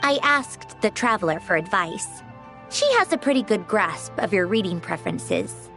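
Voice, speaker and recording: A woman's voice: mid-range voice, animated, normal speed, gravelly, fairly bright tone, soft background music. An actress playing a polite, earnest young woman in a video game, speaking with formal courtesy. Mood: apologetic, courteous.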